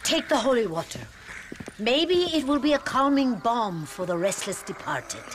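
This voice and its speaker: An actress playing a devout, troubled nun in a video game, speaking in a gentle, earnest, formal way. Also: female voice, a little high, sing-song, normal speed, background noise. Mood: solemn, earnest. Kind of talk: video game cutscene acting.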